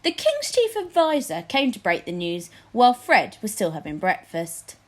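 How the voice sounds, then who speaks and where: Woman reading a kids story out loud like a teacher, really animated up and down voice. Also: medium pitch, normal speed. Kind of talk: expressive children's story narration.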